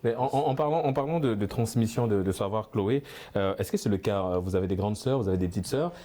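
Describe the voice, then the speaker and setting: A man hosting a panel discussion, prompting guests with halting questions and many fillers. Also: lowish voice, mellow. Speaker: debate show host.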